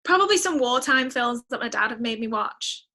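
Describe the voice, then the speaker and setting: A woman's voice: fairly high pitch, lively intonation, normal speed, bright, forceful tone. A woman chatting casually as a guest, emphatic and thinking aloud as she goes. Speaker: podcast guest, singer-songwriter. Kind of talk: casual chat.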